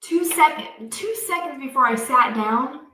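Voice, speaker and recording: A talkative woman, chatting excitedly as a livestream co-host. Her voice sits a little high and sounds set back from the mic. The room is echoey. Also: unhurried. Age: 30s. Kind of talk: casual chat on a homestead livestream. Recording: roomy, from a distance off the mic.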